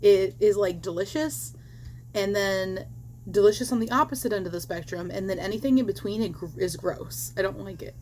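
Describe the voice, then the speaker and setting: Woman, slightly hoarse, rambling casual crochet chat, home recording, faint hum. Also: mid-range voice, moderate pace, a little mellow. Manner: rambling and chatty, with many fillers and little laughs. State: slightly hoarse, losing her voice. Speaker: crochet vlogger.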